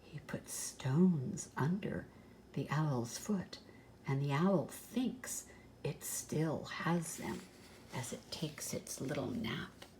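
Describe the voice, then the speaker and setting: An older woman reading a picture book aloud as a grandmother, expressive and unhurried, with some hesitation. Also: sits low, slight background noise, low-quality recording. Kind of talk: storybook read-aloud with soft asides.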